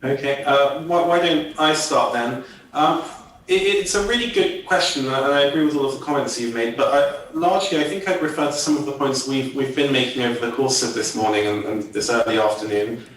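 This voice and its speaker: A man's voice: medium pitch, fairly quick, fairly bright tone, heavy reverb, far from the mic, slight background noise, rough recording. A man on an expert panel, listing his points methodically to a committee. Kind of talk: committee testimony.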